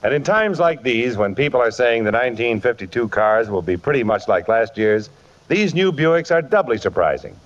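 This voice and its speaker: Animated male 1950s radio announcer. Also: medium pitch, normal speed. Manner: polished, emphatic salesman delivery. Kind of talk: 1950s radio advertising pitch. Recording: muffled, faintly noisy old radio broadcast.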